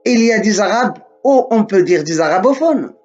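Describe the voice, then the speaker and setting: A man from Morocco giving a slow, deliberate spoken lesson, often repeating and correcting himself. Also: high voice, expressive, darkish tone, dull audio, soft background music.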